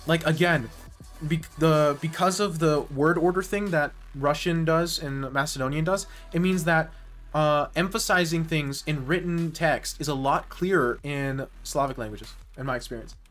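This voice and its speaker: A man's voice: on the high side, normal speed, fairly bright tone, faint music behind. A man streaming live and chatting casually with viewers, rambling and correcting himself mid-sentence with nerdy enthusiasm. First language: English.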